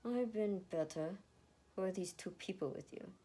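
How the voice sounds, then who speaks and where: A woman's voice: lowish voice, normal speed, mellow, slightly rough. A woman voicing a cold, curt, disdainful father in a fan fiction reading. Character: cold, scoffing, glaring father, tall man.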